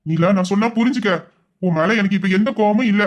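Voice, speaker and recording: A man playing a young man who is hurt and quick to anger, scolding and exasperated in an audio drama. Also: high voice, natural voice. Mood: firm. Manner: scolding, exasperated, shouting at times. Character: young man, wounded and quick-tempered lover.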